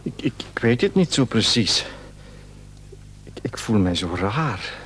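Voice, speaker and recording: A man's voice: lowish voice, big pitch swings, measured pace, a bit bright, slight background noise. An actor playing an anxious, frightened husband who stammers and repeats his words in a radio play. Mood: uneasy, hesitant. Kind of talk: Dutch radio play acting.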